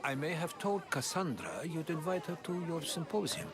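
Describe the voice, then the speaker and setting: An earnest, cautious male adviser in a video game. His voice sits slightly high with very animated intonation, over crowd noise. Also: moderate pace. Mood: cautious, advising. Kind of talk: video game cutscene acting.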